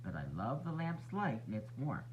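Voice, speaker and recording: A man voicing a gentle, knowledgeable female moth who patiently explains things in a children's storybook. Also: medium pitch, very animated, normal speed, muffled recording, noisy background, close to an everyday voice. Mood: patient explaining. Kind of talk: read-aloud children's storybook.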